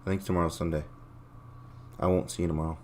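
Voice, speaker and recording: A man's voice: low-pitched, level tone, fast talker, darkish tone, a little hiss or hum. A man hosting a casual livestream in a rambling, blunt, profane way, his voice a little worn as if he is losing it. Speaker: livestream host. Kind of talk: casual livestream sign-off chat, reading chat comments aloud.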